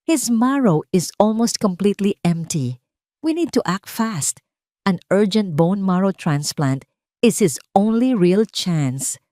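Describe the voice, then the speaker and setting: A woman voicing a gentle, grave senior hematologist, a man, in an audio drama, measured and factual, with a Filipino accent. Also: mid-range voice, lively intonation, dry recording, studio quality.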